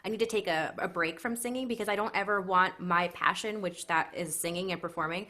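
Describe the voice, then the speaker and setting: A woman's voice: on the low side, a little fast, pushed and bright. A woman hosting a podcast over a video call, upbeat and encouraging as she asks questions. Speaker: podcast host. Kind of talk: casual interview. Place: small room indoors. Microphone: headset on a video call.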